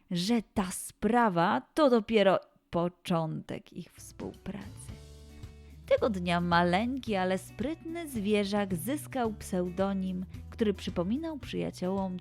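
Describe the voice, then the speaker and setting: A woman narrating a children's story slowly and expressively. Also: medium pitch, no room sound, studio quality. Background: soft background music.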